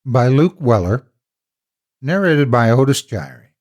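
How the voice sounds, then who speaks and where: A husky-voiced man reading a scripted first-person horror story in a dramatic, measured storytelling manner. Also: mid-range voice, big pitch swings, darkish tone.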